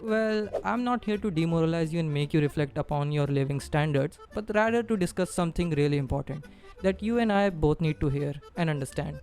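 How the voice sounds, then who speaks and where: A man's voice: a little high, brisk, a little mellow, faint music behind. A man from India with a strong Indian accent, narrating a scripted explainer and addressing the viewer directly.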